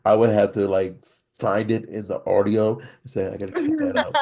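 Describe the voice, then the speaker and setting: Husky-voiced man, rambling podcast host. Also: wildly swooping pitch, normal speed, darkish tone. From American Midwest. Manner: rambling, restarts a lot, talks low. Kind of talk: casual podcast chat.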